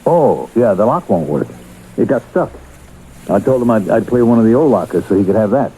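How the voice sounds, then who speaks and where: Guy acting a humble nervous ex-con handyman, middle aged, low voice, old radio play w/ bit of noise. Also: expressive, a little fast, mellow, lightly acted. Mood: meek, apologetic. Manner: earnest, defensive, deferential. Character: anxious, humble ex-convict handyman at health club. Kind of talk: radio drama acting with first-person narration. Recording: muffled old radio broadcast, faint noise.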